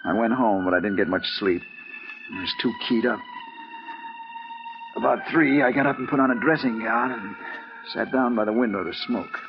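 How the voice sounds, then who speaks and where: A man's voice: medium pitch, moderate pace, long pauses, music in the background, close to an everyday voice. An actor playing a driven, hard-boiled male investigator in a vintage radio noir drama, urgent and clipped, telling his own story. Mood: brooding, intense. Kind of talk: 1950s radio noir acting with first-person narration. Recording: noisy, muffled vintage radio recording.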